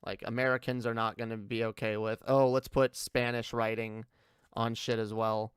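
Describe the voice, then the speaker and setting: A man's voice: medium pitch, little pitch movement, moderate pace, clear, resonant tone, no echo, clean studio sound. A man giving casual, off-the-cuff livestream commentary, rambling and repeating himself with lots of "like" and some swearing. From Canada. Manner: rambling, repetitive, liberal use of "like" and swearing. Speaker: livestream host reacting to a video.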